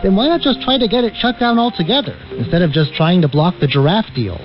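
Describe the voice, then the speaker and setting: Animated male radio reporter, muffled shortwave sound. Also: high-pitched, fast talker. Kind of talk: scripted radio feature narration. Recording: muffled narrowband shortwave radio, music behind. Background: music.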